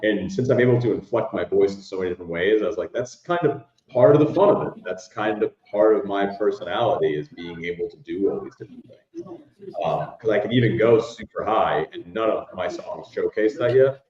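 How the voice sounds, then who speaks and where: Young male musician guest, baritone, rambling, roomy and muffled sound. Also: expressive, fairly quick, a little mellow. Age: young adult. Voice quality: baritone speaking voice. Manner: rambling, many "like" fillers. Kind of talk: casual interview chat. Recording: echoey, dull lo-fi room sound.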